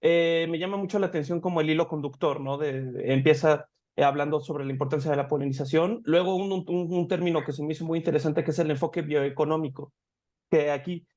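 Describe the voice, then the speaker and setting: A man's voice: fairly high pitch, steady tone, fast, a little mellow. Hesitant male interviewer, dull lo-fi sound. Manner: hesitant, many fillers. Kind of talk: interview questions.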